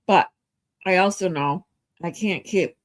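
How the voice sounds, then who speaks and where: A woman's voice: fairly low pitch, measured pace. Stuffy-nosed woman in her forties chatting. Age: 40s. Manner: rambling, many ums and restarts. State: stuffy nose. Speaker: livestream host. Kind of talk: casual chat with viewers.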